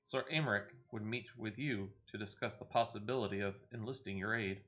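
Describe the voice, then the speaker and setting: A man's voice: on the low side, fairly even intonation, brisk, fairly bright tone, lightly acted. A man voicing a grave, proud envoy from a besieged fantasy city in a video game, with stately, urgent archaic speech. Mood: formal, pleading.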